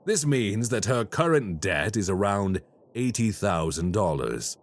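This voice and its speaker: A man playing a deep, slow, courteous crime boss. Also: big pitch swings. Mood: smooth menace. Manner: slow, courteous and quietly menacing. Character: calm, polite male crime boss, menacing. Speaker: voice actor. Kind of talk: audio roleplay.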